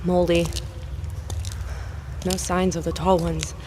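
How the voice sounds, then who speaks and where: An actress playing a cautious, curious woman explorer recording a field log in an audio drama, speaking in a measured, observational way. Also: lowish voice, flat delivery, dark tone, slight background noise. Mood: cautious, deliberate. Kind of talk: found-footage audio drama acting.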